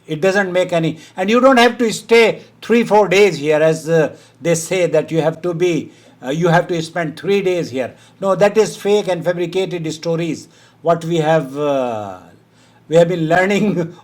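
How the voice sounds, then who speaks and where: High-voiced Indian man answering a caller. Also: animated, normal speed. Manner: firm, dismissive of hearsay, with short laughs. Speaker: host answering a caller's religious question. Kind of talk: phone-in Q&A.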